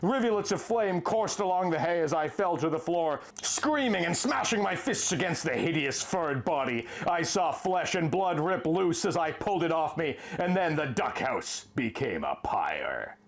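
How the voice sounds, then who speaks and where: A man's voice: fairly high pitch, very animated, normal speed, few pauses. A man reading a pulp adventure story in a theatrical, emphatic first-person style, drawing out the ends of phrases.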